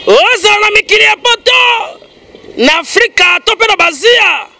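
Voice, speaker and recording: A man delivering a channel jingle in a shouted, sing-song promo style. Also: extremely high pitch, expressive, unhurried, gravelly, no echo.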